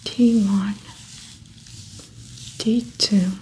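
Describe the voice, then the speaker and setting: A young woman whispering softly in an ASMR medical roleplay, calmly narrating a clinical exam as she works. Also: medium pitch, extremely slow, a little hiss or hum. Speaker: ASMR creator playing a doctor. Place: small indoor studio set.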